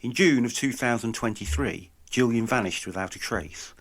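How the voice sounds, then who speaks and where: A man's voice: medium pitch, normal speed. A man narrating a scripted true-crime podcast as investigator, solemn and dramatic.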